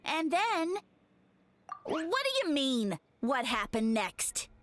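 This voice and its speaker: High, pompous little mushroom god. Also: female voice, sing-song, takes it slowly, a bit bright, a little hiss or hum, exaggerated voice. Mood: grandiose. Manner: pompous, theatrical, taunting. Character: boastful, evasive little male mushroom god seeking subjects. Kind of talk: video game character acting.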